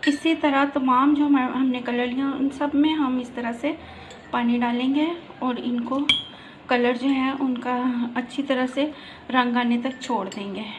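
A woman's voice: high voice, fairly even intonation, a little slow, background noise. A woman hosting a cooking channel, patiently explaining each step of a recipe as she works in her home kitchen on a handheld phone.

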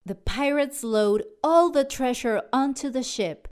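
A woman narrating a children's storybook aloud in an expressive, dramatic way. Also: sits high, a little slow, smooth. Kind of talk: animated children's read-aloud.

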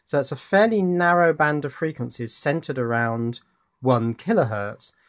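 A man's voice: mid-range voice, lively intonation, moderate pace, a bit bright. A man hosting a casual hands-on electronics tutorial, informally thinking aloud as he works. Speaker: host.

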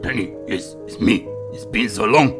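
Earnest cartoon pig brother, played by a man, mock Italian accent, lowish pitch, game cutscene. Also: moderate pace, over music, close to an everyday voice.

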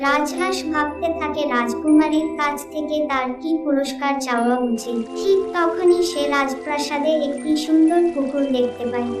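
A woman narrates a children's fairy tale in a very high voice. The recording is roomy and a little distant. Music plays clearly behind her. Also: moderate pace, hardly any pauses.

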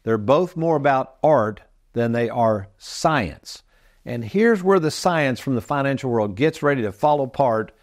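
A man's voice: medium pitch, animated, a little slow. A man in his sixties presenting financial advice as a show host, folksy and emphatic as he explains to viewers. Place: TV studio set, indoors.

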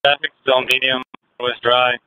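A man's voice: medium pitch, flat delivery, a little slow, narrow, tinny audio. A man working as a state patrol officer, giving terse, clipped reports over a police radio. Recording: noisy police scanner radio audio.

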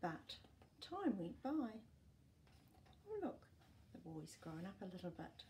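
Middle-aged woman, storytime host. Soft mellow tone, lively pitch, expressive read-aloud for children. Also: slow pace, slight background noise. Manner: expressive, asks the young listener questions, gentle gasps. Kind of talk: reading a picture book aloud to children, with chatty asides. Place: small indoor room with books and toys.

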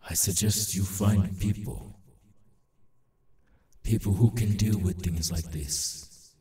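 A man voicing a halting, urgent demon in an ASMR roleplay, repeating himself in broken phrases and insisting he is harmless. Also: deep voice, steady tone, mellow, reverberant, distant mic, slight background noise. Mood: grave, urgent.

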